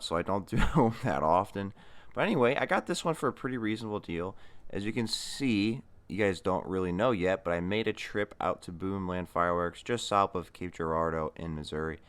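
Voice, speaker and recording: Male vlog host, American, low-pitched voice, informal and enthusiastic, chatting through an unboxing. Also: fairly bright tone, clean tone. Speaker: fireworks channel host. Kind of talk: casual unboxing commentary.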